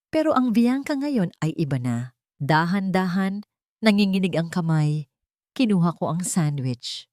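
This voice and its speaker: Casting: female audio drama narrator, deep register, close dry sound, emotive scripted first-person storytelling. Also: darkish tone, no room sound.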